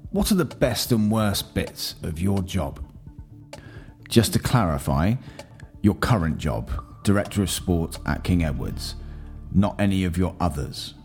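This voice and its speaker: Deep-voiced man with dry, teasing sarcasm. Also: big pitch swings, measured pace, creaky, a little mellow, faint music behind. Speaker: podcast host, interviewer. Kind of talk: scripted podcast interviewing.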